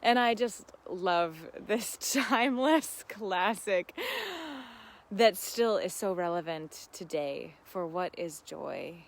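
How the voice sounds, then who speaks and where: A woman hosting a casual livestream, talking in an earnest, heartfelt and effusive way. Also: on the low side. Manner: effusive, often laughing while talking. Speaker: host of a live Q&A.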